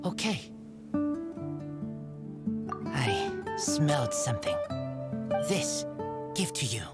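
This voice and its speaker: Low, halting feral boy. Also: very animated, exaggerated voice. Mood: earnest. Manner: terse broken sentences. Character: feral wolf-raised boy, simple, loyal, halting. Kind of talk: anime game voice acting. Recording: loud music under voice.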